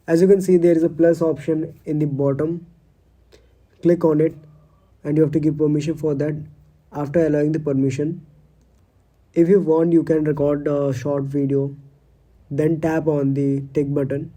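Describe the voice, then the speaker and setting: A man's voice: fairly high pitch, little pitch movement, moderate pace, pauses often, dark tone. A man narrating a scripted step-by-step tutorial as its host.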